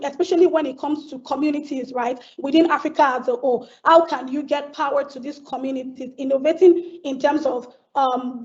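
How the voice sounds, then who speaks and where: A woman speaking as a webinar panelist, thinking aloud in a searching way and often restarting her sentences. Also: sits high. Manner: thoughtful, searching, with frequent restarts. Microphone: video call. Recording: dull lo-fi video call audio.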